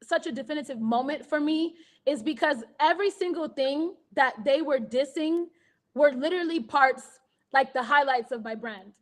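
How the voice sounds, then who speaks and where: A woman with an American accent and a high voice tells her career story in a casual interview. She is animated, laughs easily and acts out other people's voices as she goes. She sounds like a woman in her thirties. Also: normal speed. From Kenya. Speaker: guest artist.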